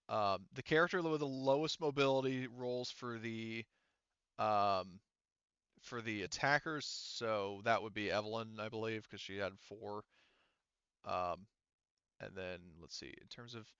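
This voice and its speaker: A man running a tabletop game as game master, explaining rules casually in a halting, self-correcting way. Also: on the low side, fairly bright tone, clear, resonant tone, dry recording, lo-fi sound.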